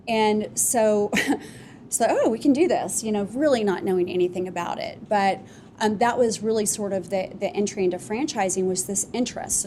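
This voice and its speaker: A woman founder on a live podcast panel, telling her business story at length in a relaxed, rambling way with many fillers. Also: medium pitch, slight background noise. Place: office podcast studio with live audience.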